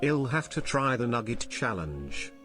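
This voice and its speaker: A man's voice: mid-range voice, sing-song, unhurried. Man reading a viewer message over music.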